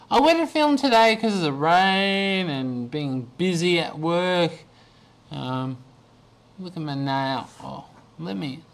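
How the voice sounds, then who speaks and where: Tired, hoarse man rambling slowly. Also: a little high. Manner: rambling, slow, many ums and restarts. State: losing his voice, tired. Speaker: vlogger. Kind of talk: casual video diary. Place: small bedroom indoors. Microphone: webcam at desk.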